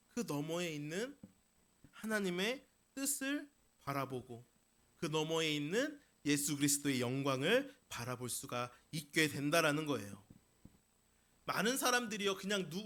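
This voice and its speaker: A male pastor delivers a sermon in a notably high, bright voice. His tone is forceful and emphatic. He repeats key phrases and puts rhetorical questions to his listeners. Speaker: pastor preaching. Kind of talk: Sunday sermon to a congregation.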